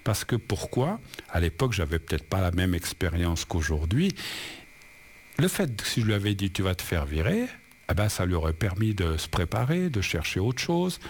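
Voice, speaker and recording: A man hosting a live call-in show as a psychic medium, talking in a halting way and often restarting his phrases. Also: sits low, big pitch swings, slight background noise. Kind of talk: live call-in reading and casual chat.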